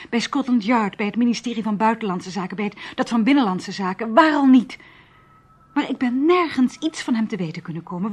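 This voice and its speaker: An actress in a Dutch radio play playing an anxious, determined woman searching for her missing brother, speaking with halting intensity. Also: medium pitch, husky. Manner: hesitant, halting, intense delivery.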